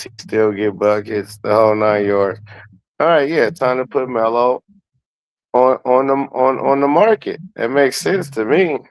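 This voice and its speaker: A man's voice: lowish voice, clear, resonant tone, rough recording. A man giving his opinions on sports in casual, slangy, profane talk, often repeating words to make his point. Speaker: guest commentator.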